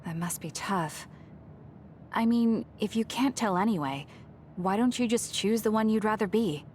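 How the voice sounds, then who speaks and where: A woman's voice: medium pitch, lively intonation, moderate pace, a little mellow, a little hiss or hum, lightly acted. An actress playing a composed, blunt but caring young female soldier in a video game. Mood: concerned. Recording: music behind the voice.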